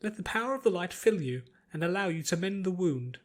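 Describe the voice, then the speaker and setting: A man voicing a formal, patient older priest who instructs a pupil in a measured way, in an audiobook story. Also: high-pitched, expressive, voice pushed up. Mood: instructive. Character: elderly male priest trainer, formal and patient. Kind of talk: dramatised audiobook character voice.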